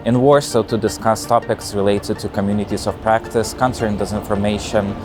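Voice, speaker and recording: A man gives an event recap. His voice is on the low side, and guitar music is clearly audible behind it. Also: steady tone, brisk.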